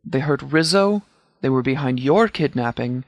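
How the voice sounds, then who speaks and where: An actor playing a stern, composed sheriff of a post-apocalyptic militia in a video game, speaking in a measured, formal, authoritative way with clipped orders. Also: male voice, mid-range voice, lively intonation, dry recording, clean studio sound, natural voice. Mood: commanding, grave. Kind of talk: scripted video game dialogue.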